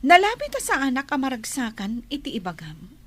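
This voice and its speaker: A woman's voice: high-pitched, wildly swooping pitch, a little fast, full character voice. A woman playing an insistent office boss in a radio drama, pressing for the truth. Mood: probing, tense.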